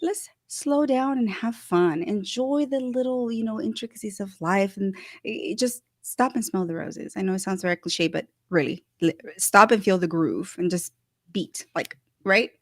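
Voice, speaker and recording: Woman host from El Salvador, bubbly, chatty, dry close mic, mid pitch. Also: no echo. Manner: bubbly, laughs often, fast with restarts. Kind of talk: casual online show chat.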